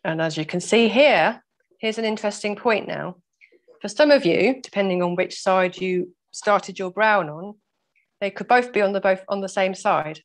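A woman with a croaky voice teaching a weaving workshop over a video call, patiently explaining each step and laughing off her own mistakes. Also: medium pitch, normal speed. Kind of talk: step-by-step craft demonstration. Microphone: laptop mic over video call.

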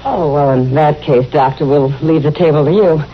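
A woman's voice: low voice, normal speed, natural voice. A woman playing a wry, self-assured American saloon hostess, teasing and easygoing in an old-time radio western. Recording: muffled, noisy old radio broadcast.

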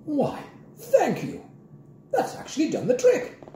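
An imperious old lady from a storybook as a man acts her out, prim and full of complaint. The pitch sits high and moves theatrically up and down, in a slightly echoing room. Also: huge pitch swings, moderate pace, mellow, a little hiss or hum. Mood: chilly. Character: haughty, fussy old lady, imperious and unhurried.

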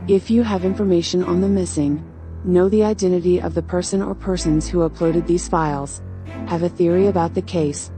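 A woman's voice: on the low side, dark tone. A woman reading scripted podcast credits as an announcer.

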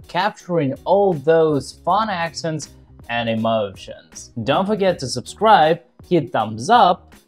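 Young man presenting with lively, swinging intonation. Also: fairly high pitch. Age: 20s. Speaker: tutorial presenter. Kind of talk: scripted tutorial narration to camera.

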